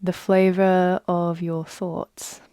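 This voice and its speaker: A woman guides a meditation at a very slow pace, leaving long pauses between phrases. Her voice is soft, mellow and fairly low. The sound is close and dry, and her delivery is soothing throughout. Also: flat delivery, clear, resonant tone, no echo. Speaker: yoga nidra guide.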